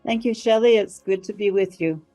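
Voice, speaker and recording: An older woman with an American accent, answering interview questions in an articulate, measured way, pausing now and then to find words. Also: medium pitch, warm tone, soft background music. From United States. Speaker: interview guest, marketing professional and professor. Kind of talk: interview answers, career account.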